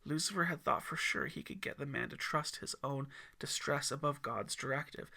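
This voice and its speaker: A man's voice: mid-range voice, creaky, pushed and bright. A man reading scripted, dramatic audiobook narration as a storyteller. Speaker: author and narrator.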